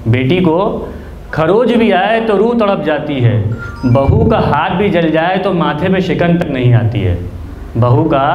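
A man's voice: high voice, darkish tone. A man in his twenties reciting spoken-word poetry in a declamatory way, repeating lines for emphasis, into a stand mic at an open mic. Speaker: amateur poet, open mic performer. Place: small indoor open mic venue. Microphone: handheld-type mic on a stand, close. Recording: echoey room with background noise.

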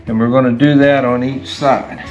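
A retired man in his sixties talks through a workshop project step by step, in a plain, unhurried voice at an ordinary middle pitch. His voice echoes around a garage, and guitar music plays clearly behind him. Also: flat delivery, a little mellow. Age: 60s. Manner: plain, unhurried step-by-step explaining while working. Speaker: DIY workshop host. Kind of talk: hands-on how-to demonstration.

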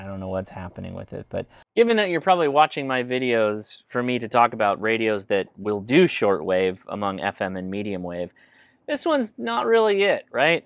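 Low-voiced man casually reviewing gadgets. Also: gravelly, no room sound. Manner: informal, thinking aloud. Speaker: host of a radio gear review channel. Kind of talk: casual hands-on product review. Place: indoors at a desk.

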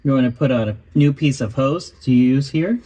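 A man talks through a repair step by step. His voice sits at an ordinary middle pitch, and his delivery is calm and matter-of-fact. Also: normal speed, husky. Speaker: host of a car restoration channel. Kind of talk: voice-over narration of a repair.

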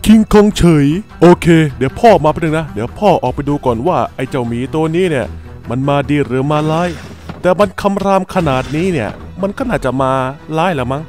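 High-pitched, exclamatory man in roleplay. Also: darkish tone. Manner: exclamatory, colloquial. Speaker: creator voicing characters in a Minecraft roleplay video. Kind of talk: animated improvised roleplay.

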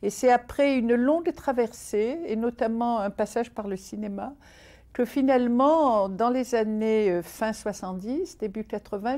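Measured Algerian-born woman writer, mellow voice. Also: on the high side. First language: Arabic. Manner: thoughtful, measured, many pauses and restarts. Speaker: writer interviewed. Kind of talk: reflective interview talk. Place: indoor room by a window. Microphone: unseen, seated interview framing.

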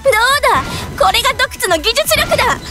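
A woman's voice: extremely high pitch, very animated, fast. An actress playing a brash, boastful young military woman in an anime audio drama, blunt and commanding. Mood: boastful, triumphant. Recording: music bed under the voice.

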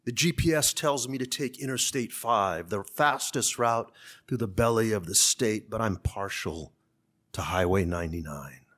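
Man in his sixties, low pitch, author's public reading, deliberate phrasing, podium mic. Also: fairly bright tone. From California's Central Valley. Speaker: author and journalist speaker. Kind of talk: public talk and literary reading. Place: conference room. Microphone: gooseneck podium microphone.

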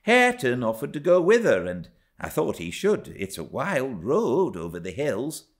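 A man's voice: medium pitch, wildly swooping pitch, normal speed, pitched above the performer's own voice. A man voicing a kindly rustic woman servant, speaking reassuringly in homely dialect. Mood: gentle pleading.

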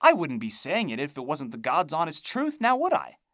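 A man's voice: fairly high pitch, sing-song, moderate pace, fairly bright tone, dry recording, studio quality, voice pushed up. A man voicing a rotting, ghoulish woman who rambles in a folksy, whiny, needling way. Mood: indignant.

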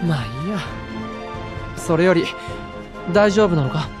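Cool, reserved teenage boy, lightly high. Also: unhurried, music in the background. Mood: wry, concerned. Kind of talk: Japanese game cutscene acting.

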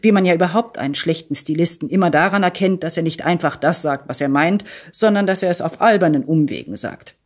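A woman reading a satirical essay aloud in an expressive, deliberate and emphatic way with dry irony. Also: lowish voice. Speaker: reader of a literary text.

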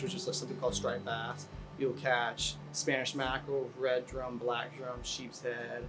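A man's voice: mid-range voice, poor audio. Young man talking casually over guitar. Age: young adult. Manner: relaxed, many fillers, gets excited. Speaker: interviewee. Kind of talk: casual interview talk. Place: outdoor balcony. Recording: guitar music underneath.

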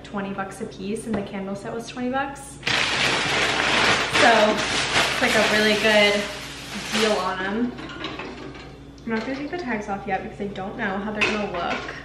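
A young woman in her twenties chatting casually as she vlogs about decorating her apartment, thinking out loud with lots of filler words. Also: medium pitch, steady tone, normal speed, very noisy. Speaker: lifestyle vlogger. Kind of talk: casual vlog chat to camera. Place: apartment balcony, car and kitchen.

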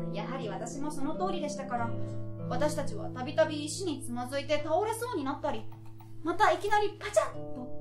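A young woman in her twenties performs a literary tale on stage with expressive, theatrical delivery. Her voice is high, and the room adds a clear echo. Plucked harp music plays behind her. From Tokyo, Japan. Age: 20s. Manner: theatrical, expressive delivery, acting out children's dialogue. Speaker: stage storyteller. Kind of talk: dramatic literary recitation. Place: small indoor stage.

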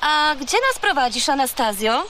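Sensible little girl for a children's audio play: high, slow-paced, calm, echoey hall. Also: background noise. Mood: matter-of-fact. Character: sensible, protective little girl with dry humour. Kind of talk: children's audio drama acting.

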